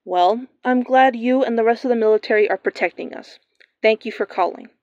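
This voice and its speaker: A woman playing a radio newscaster, reading breaking news in a steady, formal manner. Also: a little high, brisk, dry recording, natural voice. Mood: urgent. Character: composed female radio newscaster. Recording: dull lo-fi sound.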